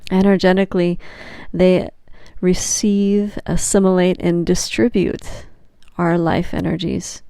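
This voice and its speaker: Woman, yoga nidra teacher, guided meditation, slightly low pitch, soothing, gentle, long pauses, very dry close sound. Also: little pitch movement, creaky, smooth, no echo.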